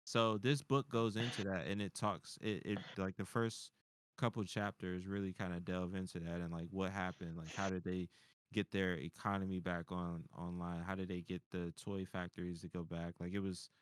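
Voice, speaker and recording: Male panelist, very deep smooth voice, dry close sound, casual and sweary delivery. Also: little pitch movement, normal speed, no echo. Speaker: panelist on a nerd culture show. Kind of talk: casual chat.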